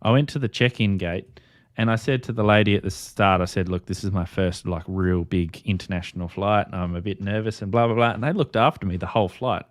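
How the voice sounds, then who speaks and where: A man's voice: lowish voice, a little fast, dry recording, studio quality. A man with an Australian accent hosting an informal, chatty group conversation, with a joking way of talking. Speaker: host of a ham radio livestream. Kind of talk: casual group chat.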